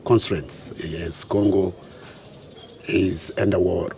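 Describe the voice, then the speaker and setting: A man whose first language is French, answering interview questions in a measured way and often clearing his throat. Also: low-pitched, steady tone, darkish tone. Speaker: guest. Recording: faint background noise.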